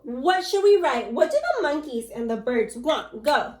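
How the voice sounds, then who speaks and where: A woman's voice: high-pitched, animated, unhurried, roomy sound. A woman teacher reading a storybook aloud to young students and asking them questions in an encouraging way. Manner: encouraging, addresses class as scholars. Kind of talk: class read-aloud and lesson.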